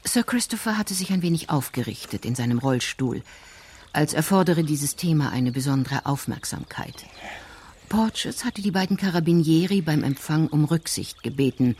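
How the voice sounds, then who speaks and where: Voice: mid-range voice, darkish tone, breathy edge. A narrator reading scripted literary prose for a radio crime drama.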